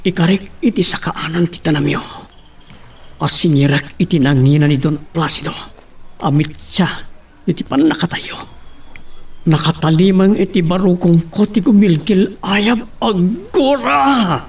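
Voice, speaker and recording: A distant, fairly high-voiced actor as a bereaved son. He makes solemn, vengeful vows in a mournful radio drama monologue. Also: measured pace, creaky, slightly rough, heavily acted. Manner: solemn, declamatory vowing. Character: grieving vengeful son vowing at mother's grave. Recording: distant mic pickup.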